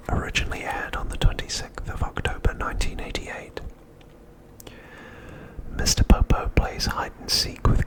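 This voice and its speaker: A man narrating a script as ASMR in a breathy, unvoiced whisper, softly and deliberately, pausing often between phrases. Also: rough recording. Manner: soft, deliberate, with frequent pauses between phrases.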